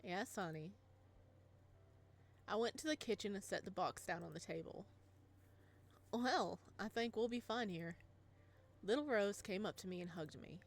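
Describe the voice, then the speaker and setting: A woman voicing a gentle, doting father, narrating softly and tenderly in a visual novel. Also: mid-range voice, a little slow, pauses often. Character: gentle doting father, a modest physics teacher.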